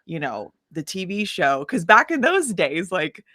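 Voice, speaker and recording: A woman musician telling her story casually in an interview, with an animated, informal manner. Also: fairly low pitch, unhurried, no echo, studio quality. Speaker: guest musician, singer-songwriter.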